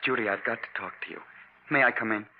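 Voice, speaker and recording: An actor playing a restless, scheming husband in an old-time radio mystery, with earnest, emphatic delivery. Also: male voice, mid-range voice, fast, a little hiss or hum, natural voice. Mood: urgent, resolved. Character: scheming discontented husband, restless, smooth-talking man. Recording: muffled vintage radio recording.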